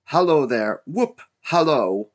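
Storybook role: giddy reformed old miser, male narrator acting, exclaiming and repeating himself, very animated pitch. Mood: giddy joy. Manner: breathless exclamations, rapid gleeful repetition.

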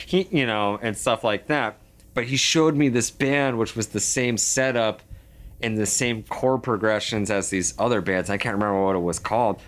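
A man in his thirties in casual chat, telling rambling stories full of "like" and getting animated. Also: lowish voice. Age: 30s. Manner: rambling storyteller, heavy filler "like", animated.

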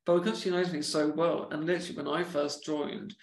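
A man chatting casually on a podcast, speaking in a halting way with repeated words from a stammer. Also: fairly high pitch, flat delivery, reverberant.

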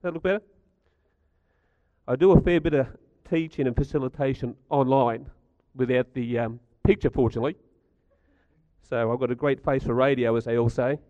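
A middle-aged man with a croaky voice, giving a chatty talk to a live audience and asking them questions. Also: medium pitch, moderate pace, warm tone, dry recording, lo-fi sound. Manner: chatty, stats-driven, asks the audience questions. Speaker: speaker at a community gathering.

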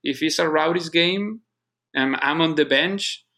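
A man from Colombia with a Colombian Spanish accent, a native Spanish speaker, answering as an interview guest in earnest, halting speech that repeats words. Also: fairly high pitch, gravelly, sharp tone. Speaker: guest, soccer club operations manager. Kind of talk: casual interview conversation.

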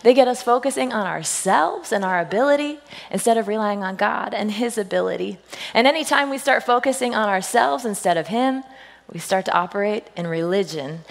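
Husky woman preaching in a big hall. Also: medium pitch. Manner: playful storytelling, self-deprecating jokes, lively quoted voices. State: husky voice today. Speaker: senior pastor. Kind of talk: humorous sermon to a congregation. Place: church sanctuary. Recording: long reverb of a large hall.

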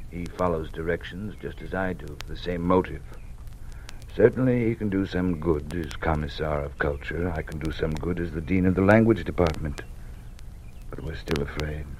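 A man's voice: sits low, darkish tone, close to an everyday voice, low-quality recording. A man playing a weary, fearful old dean. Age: older. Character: weary older male dean, fearful, cautious. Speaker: college dean. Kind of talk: 1950s radio drama acting. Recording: old radio broadcast with faint hiss.

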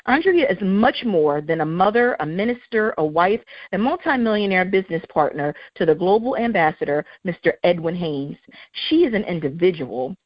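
Female talk-show host, affirming interviewer, lower pitch, dry lo-fi recording. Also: lively intonation, normal speed, no room sound. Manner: warm and affirming, with lots of encouraging interjections. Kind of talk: interview hosting, reading an introduction.

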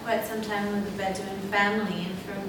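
A woman's voice: medium pitch, level tone, a little fast. A woman in the audience asking a question at a lecture, hesitant and restarting often. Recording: distant voice in a very echoey hall with noise.

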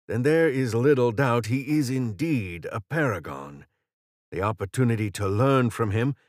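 A man's voice: mid-range voice, no echo, clean studio sound, natural voice. A man voicing an aging British council leader, articulate, formal and wry, in a fantasy audiobook. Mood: gentle, measured.

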